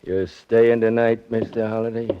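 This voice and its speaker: Man acting a low-voiced, smugly mocking shady hotel clerk. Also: little pitch movement, normal speed, noisy background, close to an everyday voice. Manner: smug, mock-courteous, insinuating. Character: shady, mocking male flophouse desk clerk. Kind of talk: 1940s radio crime drama acting. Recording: muffled vintage 1940s radio recording.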